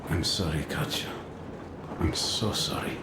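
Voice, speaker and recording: Low-voiced, remorseful comrade. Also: male voice. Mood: sorrowful, apologetic. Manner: halting, subdued apology. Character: remorseful, gentle male comrade offering sympathy. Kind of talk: video game cutscene dialogue. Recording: roomy, loud background noise.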